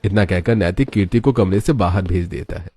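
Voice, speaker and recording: A man's voice: sits low, a little fast, mellow. A man narrating a scripted cartoon story. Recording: music behind the voice. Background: background music.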